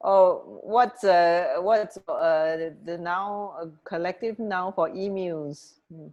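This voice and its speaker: Female radio co-host, unhurried and slightly low-voiced, casual, halting and giggly in conversation. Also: highs cut off. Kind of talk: casual banter chat.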